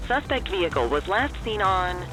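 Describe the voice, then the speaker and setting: A formal police helicopter crewman gives clipped radio acknowledgements in game dialogue. His pitch is high, and music plays behind him. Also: very animated, normal speed, low-quality recording. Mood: procedural. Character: crisp, procedural male police helicopter crewman.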